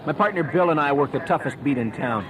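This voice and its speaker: Male actor as hard-boiled paramedic for comic radio promo; highish, animated delivery, melodramatic urgency. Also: fast talker, a crowd in the background, close to an everyday voice. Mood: hard-boiled earnest. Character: overwrought male paramedic, hard-boiled deadpan cop-show narrator. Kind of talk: comedic radio promo acting. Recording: noisy old promo with street traffic.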